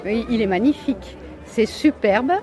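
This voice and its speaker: A woman's voice: fairly high pitch, moderate pace, a crowd in the background. A woman answering a street interview, speaking enthusiastically and with praise. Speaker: interviewed visitor. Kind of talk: street vox pop.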